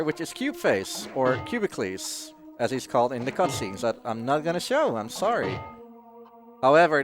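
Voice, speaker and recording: A man giving casual, jokey live commentary as he plays through a video game. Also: mid-range voice. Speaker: speedrunner. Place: live gaming marathon event. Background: game music.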